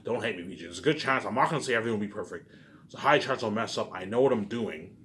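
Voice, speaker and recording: A man talking in a casual, rambling monologue, halting and repeating himself, often swearing and tagging statements with "obviously". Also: fairly low pitch, animated, fairly bright tone. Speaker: solo video host. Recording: noticeable background noise.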